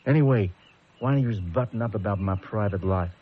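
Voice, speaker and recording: Gruff, surly bully, muffled radio sound. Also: male voice, lowish voice, fairly quick. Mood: surly, threatening. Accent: American. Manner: gruff, menacing, blunt. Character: big surly male bully, hot-tempered lighthouse keeper. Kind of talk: radio drama acting. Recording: muffled radio drama recording with music.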